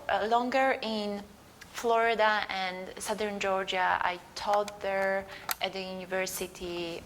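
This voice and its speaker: A woman's voice: mid-range voice, slight background noise. A middle-aged woman from Poland, answering interview questions thoughtfully and searching for words. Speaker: guest artist. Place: museum gallery.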